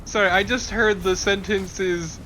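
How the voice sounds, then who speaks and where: A man's voice: high-pitched, fairly even intonation, moderate pace, pushed and bright, faint music behind, rough recording. A man co-commentating a video game in casual, joking, profane banter. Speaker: co-commentator on a let's play. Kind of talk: casual banter over gameplay.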